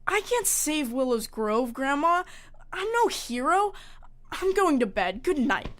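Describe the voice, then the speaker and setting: A woman's voice: sits high, big pitch swings, unhurried, a bit bright, heavily acted. A woman playing an earnest, worried young grandson in an audio drama, questioning and plain-spoken. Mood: doubtful, defeated.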